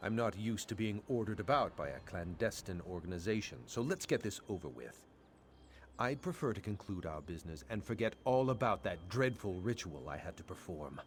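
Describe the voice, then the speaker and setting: A man's voice: deep voice, animated, a little fast, slight background noise. A man voicing a haughty, impatient nobleman in a fantasy game, pompous and formal. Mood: irritated.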